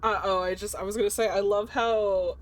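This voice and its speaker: A woman's voice: medium pitch, fairly even intonation, a little fast, a loud crowd. A woman chatting casually as the game master of a streamed tabletop campaign.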